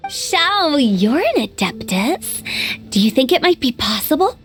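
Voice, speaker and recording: Very high-pitched perky young lady boss. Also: full character voice. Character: perky, mischievous young female funeral parlor boss. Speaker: voice actor. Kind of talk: video game dialogue acting.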